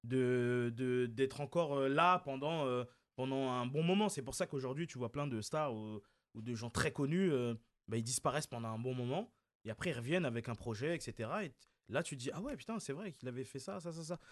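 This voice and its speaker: A man's voice: medium pitch, animated, a little fast, dry recording. Man, thirties, comedian, interview chat, loose and rambling, dry close sound. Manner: loose and chatty, many fillers and restarts. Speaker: comedian and video creator. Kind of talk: relaxed interview talk.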